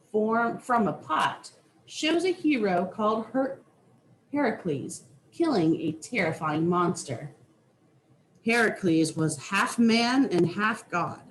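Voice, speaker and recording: A woman reading a children's nonfiction book aloud with deliberate, teacherly phrasing. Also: mid-range voice, a little hiss or hum, low-quality recording. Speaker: reader.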